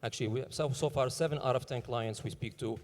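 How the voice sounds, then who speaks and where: A man pitching his startup and answering questions on stage, talking fast with many fillers and restarts. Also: darkish tone, big-room sound.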